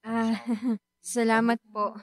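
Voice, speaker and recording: Young student daughter, female actor, radio drama: Filipino accent, highish voice, cheerful, grateful, polite. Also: flat delivery, slow pace, dry recording.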